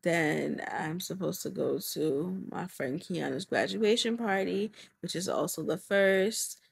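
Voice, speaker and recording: Congested ny girl vlogging, kinda low gravelly voice, cusses a lot, super casual. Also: slow. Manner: blunt, swears freely, chatty. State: congested, recovering from covid. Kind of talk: casual vlog chat.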